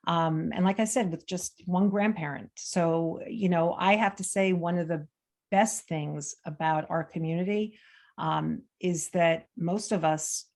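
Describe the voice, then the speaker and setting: A woman's voice: on the low side, a little mellow. A middle-aged American woman, a guest in a conversational interview, talking freely with many fillers and restarts. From United States. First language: Armenian. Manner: chatty, frequent fillers and restarts.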